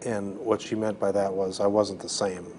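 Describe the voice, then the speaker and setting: Low-voiced man in his forties. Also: flat delivery, slight background noise. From Minnesota, US. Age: 40s. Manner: thoughtful, many "uh" pauses. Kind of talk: reflective interview testimony. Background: soft music.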